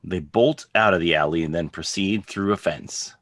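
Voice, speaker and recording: A man's voice: low voice, normal speed, fairly bright tone, dry recording, studio quality. A man narrating scripted stage directions as the narrator of a drama reading.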